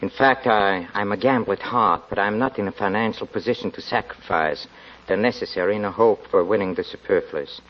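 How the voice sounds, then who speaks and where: Guy doing a brooding young officer, lowish voice, measured, muffled 40s radio drama. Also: natural voice. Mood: intent, curious. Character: intense, thrifty young German-Russian officer, secret gambler. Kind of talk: 1940s radio acting. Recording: muffled vintage 1940s radio recording.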